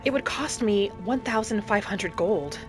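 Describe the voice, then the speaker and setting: A woman plays a gentle young wood elf woman telling her own story in a fantasy game roleplay. She speaks softly and earnestly, in an unhurried, dreamy way. Quiet music runs behind her mid-pitched voice. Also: expressive, natural voice. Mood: purposeful. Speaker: roleplay series host. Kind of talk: in-character scripted narration, storytelling.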